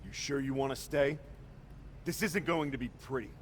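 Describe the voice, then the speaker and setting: An actor playing a merciless pro wrestler villain in a video game, menacing and taunting. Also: male voice, mid-range voice, moderate pace, a little hiss or hum, natural voice. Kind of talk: video game cutscene acting.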